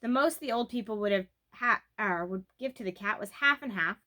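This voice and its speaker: A woman's voice: medium pitch, fairly quick, pushed and bright, lightly acted. A woman voicing an earnest, worried eight-year-old girl in a storybook, with a questioning manner. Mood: musing.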